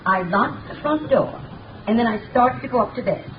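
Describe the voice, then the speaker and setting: A woman's voice: fairly high pitch, animated, normal speed, close to an everyday voice. An actress playing a nervous, deferential housekeeper in a 1930s radio drama, stammering through her answers. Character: nervous middle-aged female housekeeper. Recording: muffled noisy 1930s radio recording from a distance.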